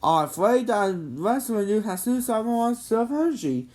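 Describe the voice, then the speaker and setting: A hearty old Santa Claus from a storybook, played by a woman. He speaks in exclamations, pitched up and moving freely. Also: very animated, normal speed, darkish tone. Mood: explaining.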